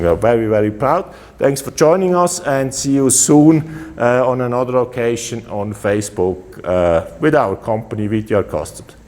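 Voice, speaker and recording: A man with a Swiss German accent, a custom motorcycle builder giving a casual walkaround of his bikes, relaxed and halting with many fillers. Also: mid-range voice, big-room sound.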